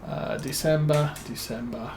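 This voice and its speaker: A man with a British accent giving relaxed let's-play commentary as a streamer, musing and thinking aloud with plenty of fillers. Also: a little high, a little slow, noisy background. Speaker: game streamer.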